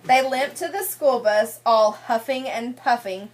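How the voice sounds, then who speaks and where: A woman's voice: on the high side, unhurried. A woman reading a picture book aloud as a teacher, prompting children with questions and checking they follow.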